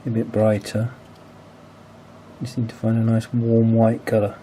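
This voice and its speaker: A man's voice: fairly low pitch, completely flat delivery, measured pace, warm tone, slight background noise. A man in his forties narrating a hands-on repair casually, hesitant and thinking aloud as he goes. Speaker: video host, radio restoration hobbyist.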